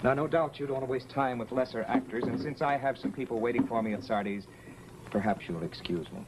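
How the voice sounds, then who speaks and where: An actor playing a suave, affable theater actor, urbane and gently mocking, in an old radio drama. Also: male voice, medium pitch, fast talker, close to an everyday voice. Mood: wry, mocking. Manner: urbane, wry. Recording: noisy old radio recording.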